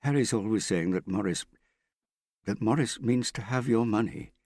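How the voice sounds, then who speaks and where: A man's voice: on the low side, normal speed, a little mellow, no echo, clean studio sound, voice pushed up. A man voicing an earnest, worried and stubborn young woman in an audiobook, serious and insistent in manner. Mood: unhappy, stubborn. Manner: serious, plain-spoken, insistent. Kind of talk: audiobook character dialogue.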